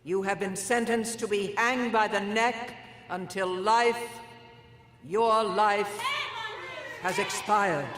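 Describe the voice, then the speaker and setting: A woman playing a stern, sanctimonious matron in an audio drama, declaiming pompously and deliberately as she presides over a grim ceremony. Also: mid-range voice, a bit bright, a little hiss or hum. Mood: stern, condemning. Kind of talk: theatrical audio drama oration. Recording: echoey large space, long reverb.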